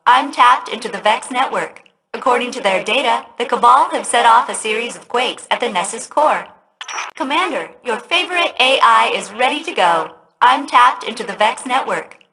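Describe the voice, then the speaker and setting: A woman's voice: a little high, lively intonation, normal speed, bright, forceful tone, poor audio. A woman voicing a perky, polite spaceship AI in a video game. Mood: cheerful, brisk. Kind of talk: scripted video game voice acting.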